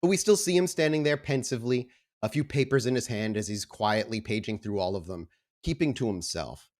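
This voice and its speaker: A man improvising narration as game master of a tabletop game, with a halting delivery full of repeated words. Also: medium pitch, lively intonation, darkish tone. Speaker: game master of an actual play podcast.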